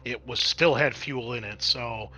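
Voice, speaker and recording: A man runs a tabletop roleplaying game as its game master. His voice is bright and clear-cut in tone, and he explains things in a conversational, unscripted manner. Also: mid-range voice, a little slow, low-quality recording.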